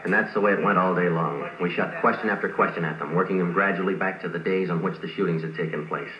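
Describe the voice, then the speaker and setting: An actor playing a terse, businesslike police detective in a 1940s radio drama, narrating in a clipped, matter-of-fact way. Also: male voice, sits low, steady tone, fast, crowd noise, lightly acted. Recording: old radio show through vintage set, roomy, dull.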